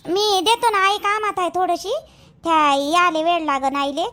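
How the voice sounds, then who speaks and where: A meek young village daughter-in-law acted by a woman, with a high, bright voice. She speaks deferentially and a little plaintively in Vidarbha Marathi dialect, in homemade cartoon style. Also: fairly even intonation, normal speed. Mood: hurt, meek. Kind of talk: amateur cartoon voice acting.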